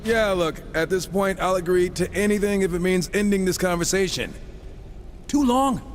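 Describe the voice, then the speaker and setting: A man's voice: sits high, normal speed, slight background noise, natural voice. An actor playing a cocky, irreverent gunslinger horseman in a video game, with a sarcastic, breezy delivery. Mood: sardonic. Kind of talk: video game cutscene acting.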